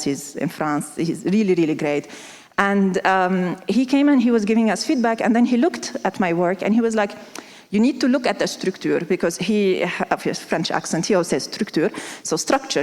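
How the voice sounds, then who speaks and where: A woman's voice: mid-range voice, darkish tone. A woman from Lebanon giving a conference talk in a conversational way, talking straight to the audience. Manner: conversational, uses analogies, addresses audience. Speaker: conference speaker. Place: conference hall. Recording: reverberant hall.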